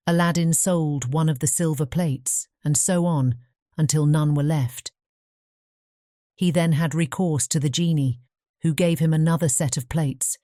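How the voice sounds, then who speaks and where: Female storybook narrator: mellow, measured bedtime reading, recorded very dry and close. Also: deep voice, no echo. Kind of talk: scripted bedtime fairy-tale narration.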